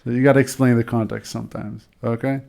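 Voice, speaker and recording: A man's voice: mid-range voice, flat delivery, quick pace, gravelly, dark tone. Guy hosting a podcast, loose and chatty, lots of ums. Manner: chatty, loose, many fillers. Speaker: podcast host. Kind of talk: casual podcast chat, reading jokes.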